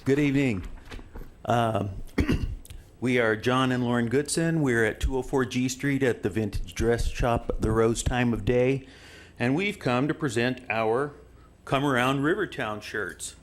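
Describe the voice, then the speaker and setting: A shop owner speaking at a council meeting, cheerful and promotional. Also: male voice, medium pitch, measured pace. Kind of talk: public comment.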